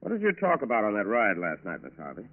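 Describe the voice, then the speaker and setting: An actor playing a businesslike federal agent, clipped and probing, in a 1940s radio crime drama. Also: male voice, fairly low pitch, huge pitch swings, quick pace. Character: brisk, businesslike male federal agent questioning witnesses. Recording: tinny, band-limited 1940s radio recording.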